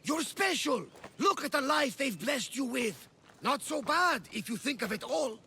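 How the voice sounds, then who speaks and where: An actor playing a devoted, reassuring companion in a video game cutscene, with a gravelly voice. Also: male voice, very high voice, big pitch swings, measured pace, sharp tone, slight background noise, heavily acted. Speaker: game character.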